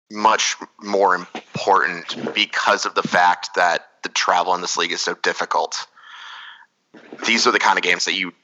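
A man's voice: deep voice, pushed and bright, low-quality recording. A man hosting a casual soccer podcast, talking analysis in a rambling, thoughtful way with many restarts. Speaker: podcast host.

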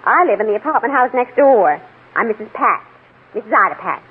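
An actress playing a gossipy, middle-aged American housewife, garrulous and self-important, in 1940s radio drama style. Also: female voice, fairly high pitch, sing-song, fairly quick, slightly rough, full character voice. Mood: eager, chatty. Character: gossipy, nosy, fluttery housewife and eager neighbourhood witness. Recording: muffled, narrow-band old radio recording.

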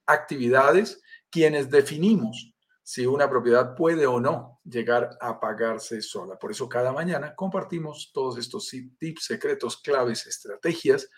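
A man's voice: medium pitch, measured pace. A man with a Colombian accent, presenting a live stream over a video call in an enthusiastic, welcoming and persuasive way. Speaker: live stream host, sales director.

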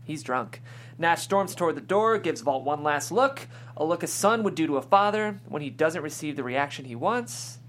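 A woman's voice: sits low, animated, moderate pace. A woman reading scripted stage directions as the narrator at a table read.

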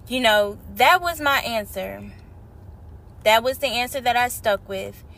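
A woman hosting her podcast in casual, slangy chat, a little hoarse from a cold. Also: a little high, unhurried. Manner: animated, slangy and chatty. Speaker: podcast host. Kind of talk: casual spiritual podcast talk.

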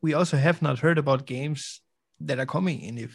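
A man speaking English as a second language as a podcast guest, halting and stuttering, with restarts. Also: on the high side, gravelly, breathy edge. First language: not English. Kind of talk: casual gaming chat.

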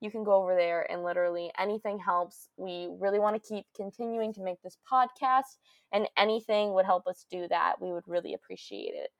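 A young woman from the Midwest with a fairly deep voice, co-hosting a podcast in casual, giggly chat. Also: fairly quick, vocal fry, dry recording. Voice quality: deeper voice. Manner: giggly, lots of fillers. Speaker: podcast co-host.

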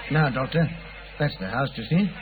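An actor playing a brisk, matter-of-fact police inspector in an old radio drama. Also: male voice, medium pitch, expressive, music in the background, lightly acted. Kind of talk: 1940s radio acting. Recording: tinny, hissy old radio broadcast.

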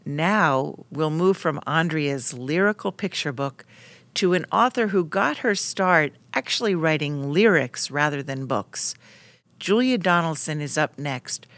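A woman's voice: low voice, fairly bright tone, no room sound. A woman hosting a podcast, giving scripted introductions and asking interview questions. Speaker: podcast host.

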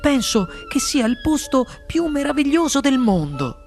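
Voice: very animated, moderate pace, faint music behind. A narrator voicing an earnest, wondering young boy in an audiobook, with an eager delivery. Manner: raised pitch, eager. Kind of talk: audiobook character voice.

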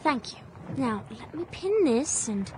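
High-voiced demure young Victorian lady. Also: sing-song, measured pace, slight background noise, rough recording. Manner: polite, demure. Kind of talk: period audio drama acting and narration.

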